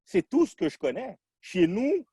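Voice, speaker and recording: A man from Quebec talking through his identity in a casual podcast discussion, reflective and halting, restarting his sentences often. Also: sits high, very animated, dark tone, slightly rough, no room sound, clean studio sound.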